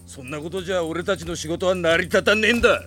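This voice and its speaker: A male actor playing a rough, ruthless bandit chief in a fantasy audio drama, taunting and coarse, with a gravelly voice. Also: high voice, fast talker, sharp tone. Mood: mocking. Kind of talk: Japanese fantasy radio drama acting. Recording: dramatic music behind the voice.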